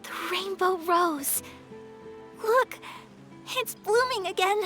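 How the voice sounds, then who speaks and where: A woman voicing a tiny childlike fairy girl, eager and melodramatic, with a squeaky voice in a video game. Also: slow, sharp tone, faint music behind, heavily acted. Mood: earnest, wondering. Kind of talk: video game character acting.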